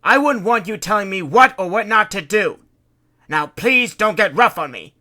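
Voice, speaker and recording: A woman's voice: sits low, animated, normal speed, bright, forceful tone, pitched above the performer's own voice. Woman doing a bossy, demanding man. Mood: angry. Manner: pushy, demanding. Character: bossy adult man, ship's expedition leader.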